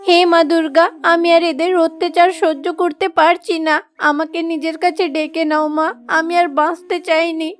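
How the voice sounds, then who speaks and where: Woman acting meek young wife, high-pitched, sorrowful, pleading, devout, cartoon fairy tale, music behind. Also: level tone, normal speed. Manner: pleading, plaintive. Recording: background music under the voice.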